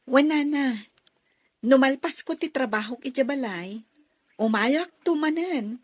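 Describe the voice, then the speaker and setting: A woman's voice: sits high, expressive, a little slow, a little mellow, dry recording, lo-fi sound. An actress playing a kind, dutiful young woman in a radio drama, speaking earnestly and politely to her elders. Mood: polite, caring.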